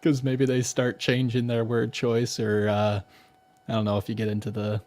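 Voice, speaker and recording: A man's voice: mid-range voice, completely flat delivery, normal speed, darkish tone. A man hosting a podcast, easygoing in his talk, laughing along with his guest and chatting casually. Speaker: podcast host.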